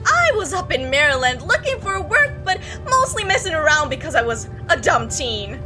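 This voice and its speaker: A woman's voice: sits high, very animated, normal speed, bright, forceful tone, faint music behind, full character voice. A woman voicing a talkative, oblivious and creepy young male bus passenger in a visual novel, rambling on breezily with casual menace. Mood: breezy, chatty. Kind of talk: acted visual novel dialogue.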